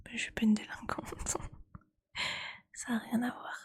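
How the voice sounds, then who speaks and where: A woman speaking in a breathy ASMR whisper, chatting casually and with gentle teasing like a streamer talking to viewers. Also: on the high side, level tone, measured pace.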